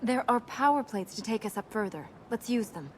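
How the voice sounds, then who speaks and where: An actress playing a resolute, sharp-tongued young princess in a video game, brisk and dry in manner. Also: female voice, a little high, expressive, background noise, lightly acted. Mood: matter-of-fact. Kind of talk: video game voice acting, dramatic dialogue.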